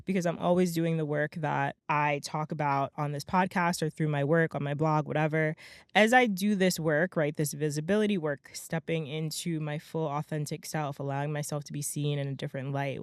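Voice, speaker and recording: A young adult woman hosting her own podcast in a casual, motivational monologue. Her voice is slightly hoarse and raspy, and she talks emphatically, often tagging lines with "right?" and "okay?". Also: deep voice, fairly even intonation, moderate pace, dry recording, clean studio sound. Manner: chatty, emphatic, peppers talk with "right?" and "okay?". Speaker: podcast host.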